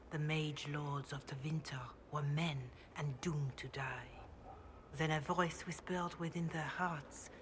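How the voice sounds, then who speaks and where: Low-voiced solemn priestess. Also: female voice, a bit bright, a little hiss or hum. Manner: solemn, measured, reverent. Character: devout, grave chantry priestess, calm and counselling. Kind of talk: video game dialogue, scripture recitation.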